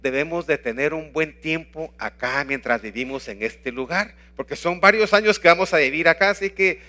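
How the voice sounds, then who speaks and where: A man's voice: fairly high pitch, moderate pace, sharp tone. A man in his fifties from Guatemala with a Guatemalan Spanish accent, giving an earnest teaching talk on evangelism and posing rhetorical questions.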